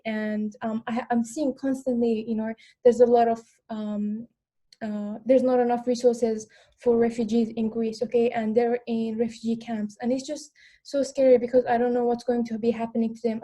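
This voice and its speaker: A woman's voice: a little high, warm tone. A young woman from Afghanistan, speaking English as a second language, talking earnestly on a panel with many fillers and restarts. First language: not English. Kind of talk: online panel conversation.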